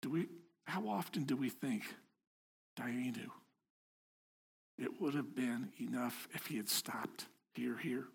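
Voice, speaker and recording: A man's voice: mid-range voice, slow pace, creaky, pauses often, slightly rough. A man giving an informal sermon to a congregation in a house of worship, talking in a conversational, self-deprecating way and joking with the people in front of him. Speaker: lay preacher.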